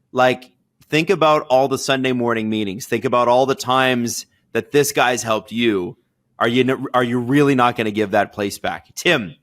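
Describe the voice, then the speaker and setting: A man's voice: mid-range voice, a bit bright. A man hosting a sports talk podcast, setting up topics and putting questions to a guest. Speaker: podcast host asking questions.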